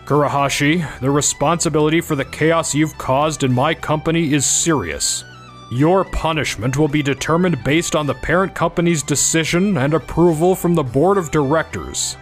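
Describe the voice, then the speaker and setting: An actor playing a grave, middle-aged company president and father in a manga dub, formal and measured. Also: on the high side, soft background music, close to an everyday voice. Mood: stern, disappointed.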